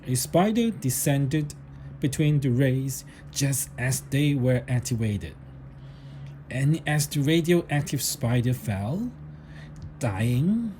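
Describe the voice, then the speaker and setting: A man reading a children's story aloud in a deliberate narrating style, with the odd small stumble over the words. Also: medium pitch, dark tone, slight background noise. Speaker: storybook reader.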